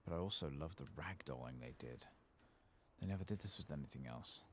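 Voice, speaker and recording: A man with a gravelly voice, speaking softly and sometimes whispering in an ASMR game playthrough commentary. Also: animated, fairly quick, slight background noise. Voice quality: gravelly, very deep. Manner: soft-spoken, sometimes whispering. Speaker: ASMR gaming streamer.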